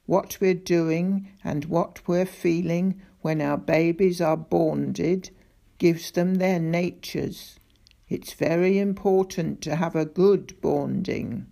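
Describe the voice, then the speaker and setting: A woman voicing a huge gentle monster that talks in a slow, deep, solemn voice. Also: fairly even intonation, creaky.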